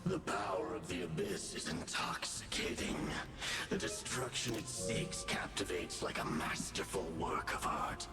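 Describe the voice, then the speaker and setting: An actor playing an arrogant, menacing monster from the abyss in a video game, speaking in a theatrical, pompous, deliberate way. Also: male voice, low voice. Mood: scornful grandeur. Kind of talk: video game villain acting. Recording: echoing game audio over music.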